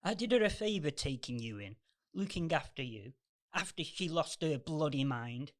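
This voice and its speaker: A man as bitter older man, scornful, gruff manner, widely varying intonation, radio drama. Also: a little high, expressive, moderate pace, lightly acted. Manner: gruff, sneering, swearing. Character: grumpy, selfish, wealthy older businessman, bitter and scornful. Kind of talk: amateur community radio play acting.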